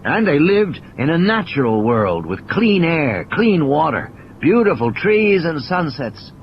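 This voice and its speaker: A man's voice: a little high, big pitch swings, measured pace, dull audio, a little hiss or hum. A man playing an injured, cynical and irritable scientist in an audio play, ranting emphatically in philosophical, insistent repetitions.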